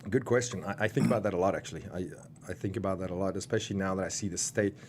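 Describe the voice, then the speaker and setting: A man's voice: deep voice, rapid-fire, slight background noise. A man in his thirties with a South African accent, chatting casually as an interview guest, rambling with many fillers and restarts. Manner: rambling, lots of fillers and restarts, laughs often. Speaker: guest wrestler.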